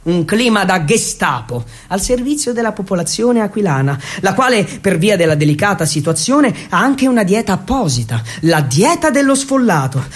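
A man delivering scripted theatrical narration, emphatic and dramatic, with deliberate pauses. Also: sits high, big pitch swings. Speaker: actor and storyteller.

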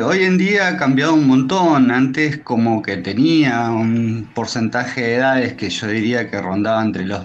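A man with an Argentine Spanish accent answering interview questions in a thoughtful, hesitant way with many pauses. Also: mid-range voice, a bit bright, dull audio. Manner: hesitant, thoughtful, pausing often. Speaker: interview guest, tattoo artist.

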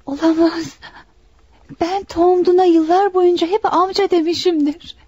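A woman's voice: very high-pitched, steady tone, measured pace, darkish tone, no room sound. An actress playing a shocked, sheltered young rich woman, exclaiming melodramatically in a radio play. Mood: disbelieving. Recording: dull, dry radio recording.

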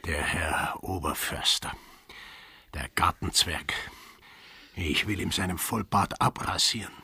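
An actor playing a gruff, mocking sailor with an Austrian accent and a rough voice in a radio play. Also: male voice, deep voice, takes it slowly, bright, forceful tone, no room sound, exaggerated voice. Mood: grim, sardonic. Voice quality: gravelly, rough voice. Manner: mocking, blunt, swinging between mutter and bark. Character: gruff, sardonic, brandy-drinking sailor with a grudge.

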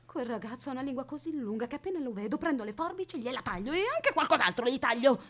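A high, swooping voice belongs to an eccentric lady of an absurdist stage play. She is whimsical and dreamy, yet possessive, and states her strange logic with full theatrical earnestness. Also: animated, fast talker, fairly bright tone. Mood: haughty, defiant. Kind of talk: absurdist radio theatre acting.

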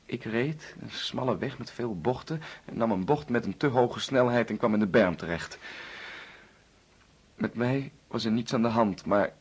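A man acting in a radio drama as an anguished young man confessing, halting and intense as he repeats his words. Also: mid-range voice, husky, close to an everyday voice. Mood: haunted confession.

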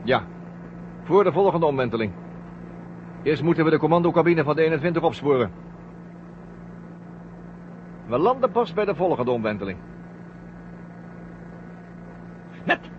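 Casting: excitable space crewmate, lively slightly high voice, vintage radio drama with noticeable hiss. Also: male voice, fast talker. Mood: excited. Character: male astronaut crewmate, excitable, eager, secretive. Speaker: actor in a radio play. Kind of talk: 1970 Dutch science fiction radio drama acting. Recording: muffled, noisy old radio play recording.

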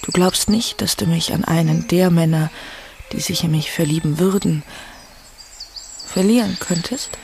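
An actress in a German radio drama playing an unhappy young wife who questions quietly and hesitantly. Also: female voice, lowish voice, moderate pace, creaky, pauses often. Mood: probing, subdued.

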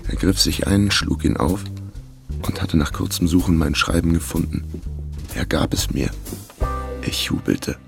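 An actor playing a cheeky, bluffing amateur detective and wry narrator in a German radio crime drama, deadpan and self-assured. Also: male voice, very deep voice, normal speed, creaky, long pauses, over music. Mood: dry, wry.

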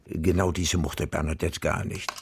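A man's voice: low voice, little pitch movement, normal speed, creaky, noisy background. An actor playing a frail, dying old man who speaks in a formal, old-fashioned, fawning way in a radio play. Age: older.

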